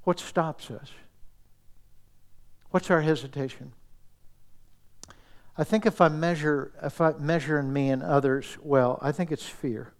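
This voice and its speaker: A man preaching a sermon in an earnest, intimate way, dropping to soft, near-whispered asides. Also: fairly high pitch, fairly even intonation, a little slow, long pauses. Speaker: pastor.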